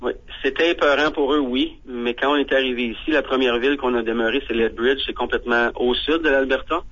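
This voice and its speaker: A man speaks casually as a radio guest. His pitch sits in the middle of the range and he rambles while searching for words. The sound is dull and lo-fi, like a muffled radio line. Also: fairly even intonation, a little fast, fairly bright tone. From Ontario.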